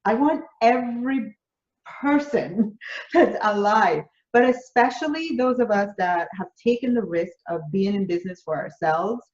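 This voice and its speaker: A woman's voice: medium pitch, expressive, unhurried, a little mellow. Jamaican woman in a roomy, dull recording. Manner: earnest, builds through repeated phrases. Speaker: business coach, interview guest. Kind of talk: conversational interview answers.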